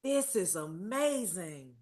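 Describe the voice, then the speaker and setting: A woman's voice: on the low side, very animated, takes it slowly, crowd noise. A woman playing a positive small-town aunt who organizes a Christmas show, in a script table read. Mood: amazed.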